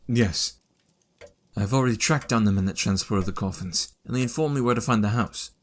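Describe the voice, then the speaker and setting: A man playing a determined young Victorian gentleman in an audio drama, earnest and direct. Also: fairly low pitch, moderate pace, natural voice.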